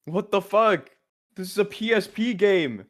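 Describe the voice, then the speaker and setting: A man's voice: high voice, lively intonation, unhurried. A man co-commentating on a game stream in a casual, blunt and sweary way. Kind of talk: casual commentary, reads game text aloud. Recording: music playing behind the voice. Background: video game music.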